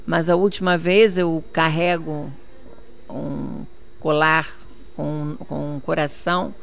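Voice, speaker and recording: A woman's voice: low-pitched, little pitch movement, takes it slowly, vocal fry, slight background noise. An older woman from Portugal, answering an interview as a city councillor, insistent and repeating her points for emphasis.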